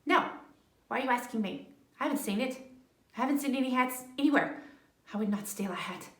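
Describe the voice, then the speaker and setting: Woman as a shifty, fast-blurting storybook rabbit. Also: a little high, animated, recorded from a distance, close to an everyday voice. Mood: defensive. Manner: rapid, blurted denials. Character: defensive, shifty rabbit hiding a stolen hat. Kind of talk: picture book read aloud with feeling. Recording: echoey room.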